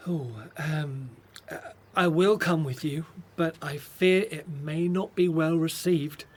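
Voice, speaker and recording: An actor playing an anxious but loyal Hebrew brother in a Bible radio drama, speaking earnestly and hesitantly. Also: male voice, a little high, steady tone, takes it slowly. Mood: hesitant, reluctant.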